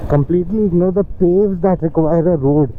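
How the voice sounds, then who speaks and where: A man's voice: high voice, moderate pace. Young man, Indian English accent, motorcycle vlogger, wry running commentary, thin phone-like sound, road noise. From Delhi, India. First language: Hindi. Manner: chatty, wry, advice-giving. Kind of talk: casual running commentary while riding. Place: on a motorcycle in city traffic outdoors. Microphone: helmet-mounted action camera mic. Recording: tinny, lo-fi helmet cam audio with clear road noise. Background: traffic and engine noise.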